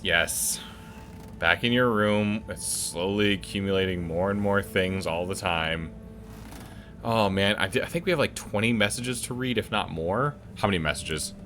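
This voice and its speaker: A man's voice: deep voice, sharp tone, slight background noise. A man hosting a gaming video, commenting casually and muttering reactions to himself as he plays. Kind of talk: casual let's play commentary, reading game text aloud.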